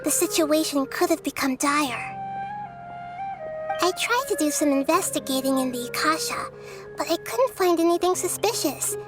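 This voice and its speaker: A woman voicing a small, gentle, wise young girl deity in a video game, speaking in measured, thoughtful, formal phrases. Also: high-pitched, loud music behind the voice, close to an everyday voice. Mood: thoughtful, serious. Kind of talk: scripted video game character dialogue.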